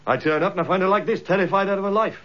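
A man's voice: high-pitched, rapid-fire, a bit bright. A man playing a tough, protective army instructor in a radio drama, blunt and forthright. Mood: bewildered. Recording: dull lo-fi radio drama recording.

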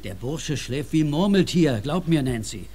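A man's voice: fairly high pitch, expressive, moderate pace, mellow, close to an everyday voice. Stern middle-aged policeman father played by a man, faint hiss. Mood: stern, dismissive. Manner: authoritative, blunt. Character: stern, skeptical policeman father of a teenage girl. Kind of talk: German audio drama acting. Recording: faintly noisy cassette audio drama.

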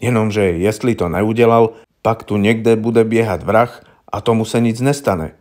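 A man's voice: on the low side, a little mellow, close to an everyday voice. A man voicing a polite, candid police investigator in an audiobook, asking questions in a deferential, coaxing, colloquial way. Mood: earnest. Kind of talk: audiobook character voice.

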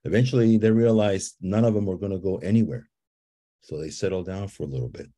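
Deep husky man guiding a meditation. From Guatemala. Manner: slow, calm and repetitive, with soft repeated invitations. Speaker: ceremony leader guiding a visioning exercise. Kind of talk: guided visualization and storytelling.

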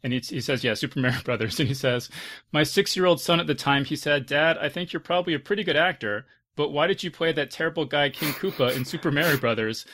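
A man's voice: fairly high pitch, fast, sharp tone. Man hosting a casual panel talk. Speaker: podcast host.

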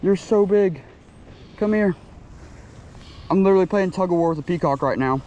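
A young man filming a solo fishing vlog outdoors at a lake with a chest-mounted action camera, excitably talking to the fish as he reels them in. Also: sits high, moderate pace, lo-fi sound. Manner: excitable, talks to the fish while reeling. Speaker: fishing vlogger. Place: outdoors by a lakeside.

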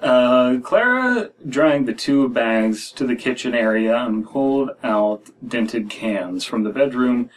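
An American man in his thirties hosts a casual story-reading podcast, reading haltingly and breaking off for joking asides. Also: medium pitch, lively intonation. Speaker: podcast host reading stories aloud. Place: small room at home, indoors. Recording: distant mic in a room.

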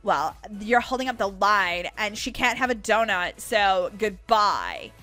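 A woman's voice: mid-range voice, very animated, unhurried, sharp tone, soft background music. A woman voicing a rude, bored young female cashier in a video game roleplay, dismissive and sarcastic. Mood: snappy.

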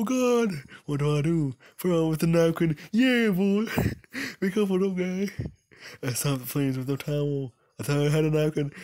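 A man's voice: medium pitch, warm tone. A young man doing casual, jokey playthrough commentary, reading dialogue aloud theatrically with playful asides. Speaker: gaming video host.

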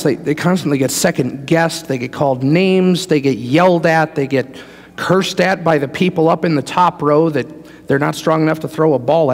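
Gravelly American preacher in a reverberant church. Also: male voice, a little high, darkish tone. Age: middle-aged. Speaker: pastor preaching. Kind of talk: sermon with conversational asides. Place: large church sanctuary, indoors, standing before a congregation.

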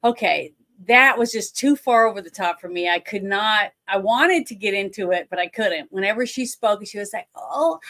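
Blunt woman with a deep voice. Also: normal speed, hardly any pauses, a bit bright. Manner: blunt, opinionated, laughs often. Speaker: co-host. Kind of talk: casual movie-review chat. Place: indoors at home. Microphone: webcam on a video call.

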